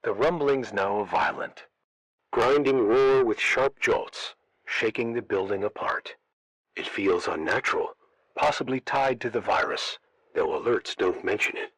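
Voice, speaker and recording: A man playing a radio broadcaster holed up during an outbreak, reading scripted emergency bulletins in a measured way. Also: fairly low pitch, fairly bright tone, breathy edge, dry recording, heavily acted, low-quality recording. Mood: grave, urgent.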